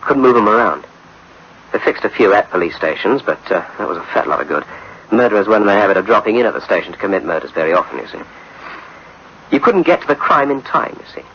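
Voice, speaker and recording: A man's voice: on the low side, big pitch swings, quick pace, a little hiss or hum. A man playing a folksy police captain in a radio drama, explaining things in a rambling, colloquial way on a muffled old recording. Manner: hesitant, repetitive, colloquial explaining. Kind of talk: 1960s-style radio drama acting.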